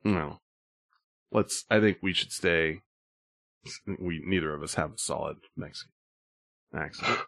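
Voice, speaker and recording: A man's voice: low voice, a little slow, creaky, pauses often, fairly bright tone. A middle-aged man chatting casually on a podcast, telling stories with lots of filler words. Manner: rambling storyteller with filler words. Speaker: podcast co-host. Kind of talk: casual chat.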